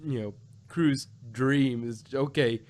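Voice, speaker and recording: A man co-hosting a casual fan discussion, talking in halting phrases with a joking bent. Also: medium pitch, a little mellow. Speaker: podcast co-host.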